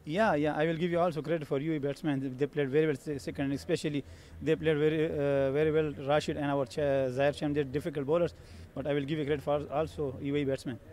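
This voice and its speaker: A cricket captain from Afghanistan with an Afghan accent, giving a halting post-match interview full of fillers and restarts, outdoors at the ground. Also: male voice, fairly high pitch, level tone, darkish tone. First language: Pashto. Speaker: team captain.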